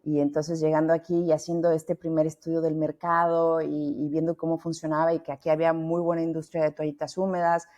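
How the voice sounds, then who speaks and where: Lively woman with a Mexican accent. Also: sits low, brisk, few pauses, lo-fi sound. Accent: Mexican Spanish. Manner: lively, talkative, many restarts. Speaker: founder and guest. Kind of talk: podcast interview.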